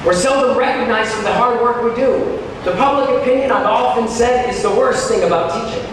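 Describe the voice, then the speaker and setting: A man with a high voice gives a keynote speech in a conversational yet dramatic way, stretching key words for emphasis. He sounds distant from the microphone in a big, heavily echoing hall, with clear background noise. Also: fairly quick. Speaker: keynote speaker, teacher. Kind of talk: motivational keynote speech to teachers. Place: large hall at a teachers' conference. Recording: very echoey hall, distant, noisy.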